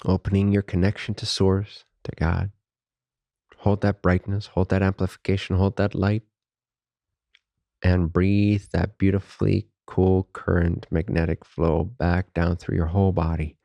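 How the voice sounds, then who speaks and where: Very deep, creaky male meditation guide. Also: no room sound. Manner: calm, unhurried, reverent spiritual phrasing. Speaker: meditation teacher. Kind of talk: guided meditation, slow and hushed with long pauses.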